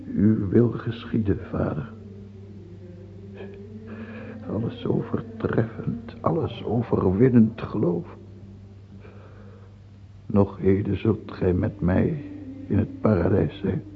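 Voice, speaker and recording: An old king on his deathbed in a radio drama. His voice is gravelly and lurches between frightened pleading and imperious orders. The sound is thin and tinny, with faint hiss. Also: on the low side, expressive, slow pace, exaggerated voice. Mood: praying. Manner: theatrical, swinging from pleading to commanding. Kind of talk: Dutch radio play acting.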